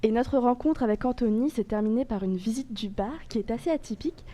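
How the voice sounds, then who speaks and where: Slightly high, mellow young woman reporter. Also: brisk. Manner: relaxed, friendly, laughs at her own anecdotes. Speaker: podcast reporter. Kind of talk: casual chat.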